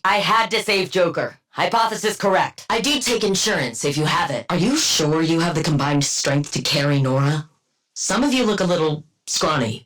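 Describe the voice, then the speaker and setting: A woman's voice: fairly low pitch, fairly bright tone, heavily acted. A woman playing a haughty, sardonic scientist supervillain in a video game, with clinical, precise diction and dry, condescending wit. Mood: smug, deadpan. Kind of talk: scripted game character voice lines.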